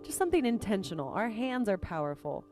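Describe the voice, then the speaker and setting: A woman leading a guided meditation as a teacher, speaking gently and unhurriedly with pauses between phrases. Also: medium pitch, sing-song. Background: music.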